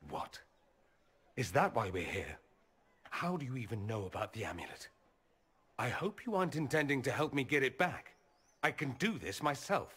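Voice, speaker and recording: A man's voice: mid-range voice, very animated, normal speed, long pauses, fairly bright tone, in a large hall. An actor playing a witty, flirtatious male mage who talks in a dry, teasing, sardonic way. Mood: teasing, wry. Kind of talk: video game voice acting.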